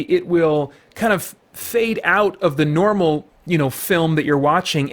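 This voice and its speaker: Halting man, slightly high-pitched, reviewing. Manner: halting, rambling, self-correcting. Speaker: host, film reviewer. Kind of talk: unscripted casual review monologue.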